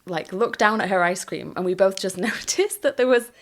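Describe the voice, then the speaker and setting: A middle-aged woman, a photographer, chatting in her home as an interview guest, warm and giggly with hesitant restarts. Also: medium pitch, moderate pace. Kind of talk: casual interview.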